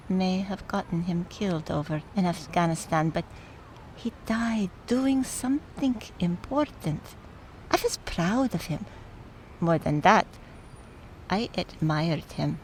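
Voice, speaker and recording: A woman's voice: fairly low pitch, takes it slowly, slight background noise, poor audio. A woman playing a fond, opinionated, wry older aunt in mourning, in radio play dialogue. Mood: reminiscing, proud.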